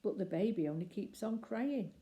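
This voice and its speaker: A woman reading a children's story aloud expressively, with deliberate phrasing and emphatic pauses. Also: mid-range voice, lively intonation, dark tone.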